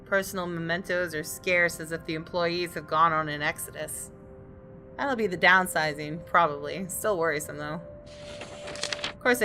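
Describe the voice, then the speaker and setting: A woman gaming host reading visual novel narration aloud, sometimes in a casual conversational style. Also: on the low side.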